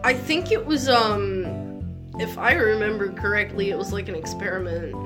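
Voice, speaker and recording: A woman's voice: mid-range voice, moderate pace, music in the background. A woman chatting casually as a podcast co-host, joking and giggly as she goes. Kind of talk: casual comedic chat.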